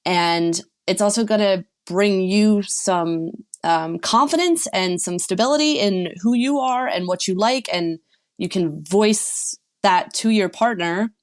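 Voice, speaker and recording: A woman's voice: medium pitch, measured pace. A woman sex and relationship coach, a podcast guest, giving frank and encouraging advice. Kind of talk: podcast interview.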